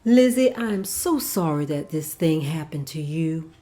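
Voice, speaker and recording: A woman playing a genteel, compassionate lady of the house in a measured, proper manner at a table read. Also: low voice, animated, dark tone, soft background music. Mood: reassuring.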